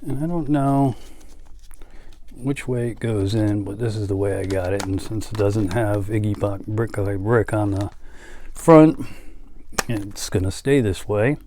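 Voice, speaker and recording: A man chatting casually as a collector and vlogger, halting and trailing off into asides as he shows items. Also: on the low side, dark tone, slight background noise. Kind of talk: casual show-and-tell chat.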